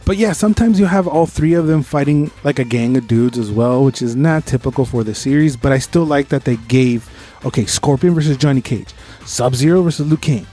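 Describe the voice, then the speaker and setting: A man's voice: mid-range voice, fairly quick, mellow, soft background music. A man co-hosting a podcast, chatting casually about movies with slangy, enthusiastic fan talk. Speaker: podcast host. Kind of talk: casual movie review chat.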